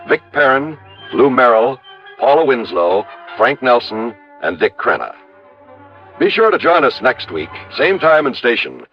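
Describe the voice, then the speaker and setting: Male radio announcer: medium pitch, lively scripted delivery, dull vintage sound. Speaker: program announcer.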